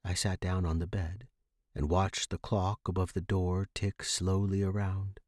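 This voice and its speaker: A man's voice: low voice, level tone, unhurried, a little mellow, no echo, studio quality. A man reading scripted horror fiction as the narrator.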